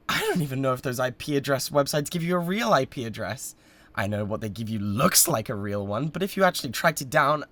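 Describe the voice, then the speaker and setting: A man plays an unhinged British male livestreamer with an obsessive streak. His pitch sits in the middle and leaps around wildly. He addresses his viewers with bratty, theatrical defensiveness, stumbling over his words as in an audio drama. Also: fairly bright tone. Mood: flippant, defensive.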